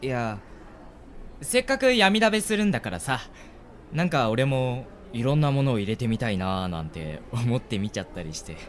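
A man's voice: medium pitch, sing-song, normal speed, close to an everyday voice. A man playing a mild, hesitant and wary young man in an amateur radio drama.

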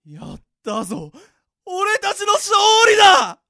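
A man's voice: extremely high pitch, huge pitch swings, slow pace, full character voice. A man playing a bombastic, boastful villain monster leading his gang in an amateur audio drama. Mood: triumphant. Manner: theatrical, boastful, shouting.

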